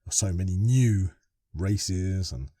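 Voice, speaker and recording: A man's voice: low-pitched, slow pace, mellow, dry recording, studio quality. A man hosting a casual podcast interview, asking long, winding questions with many restarts. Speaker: podcast host.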